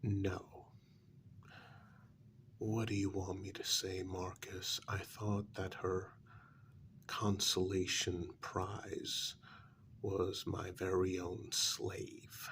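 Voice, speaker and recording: A man as a gravelly, soft-spoken vampire counselor. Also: deep voice, a little hiss or hum, exaggerated voice. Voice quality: breathy, often slipping into whisper. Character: blunt, put-upon male vampire counselor lent to other clans. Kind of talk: soft-spoken ASMR role-play.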